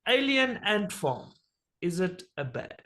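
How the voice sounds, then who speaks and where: Casual interview host, South African man, relaxed and jokey, swears freely. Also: high voice, slow. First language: Afrikaans.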